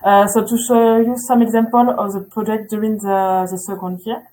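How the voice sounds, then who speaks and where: A woman's voice: mid-range voice, flat delivery, normal speed, mellow. A woman presenting a school's program in a webinar, talking hesitantly with many filler sounds.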